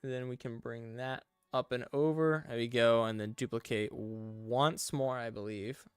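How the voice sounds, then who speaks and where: Chill young dude streaming, narrating his clicks, dry close mic, soft music in back. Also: mid-range voice, measured pace, clean tone, dry recording. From English-speaking. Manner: relaxed, talks through steps as he works, reads chat aloud. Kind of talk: casual live-stream chat while modding.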